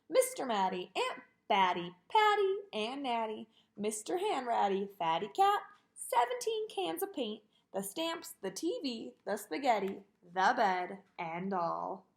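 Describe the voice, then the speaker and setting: A woman reading a children's picture book aloud in an expressive way, with sing-song delivery on repeated lists. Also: on the high side, takes it slowly. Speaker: storybook reader.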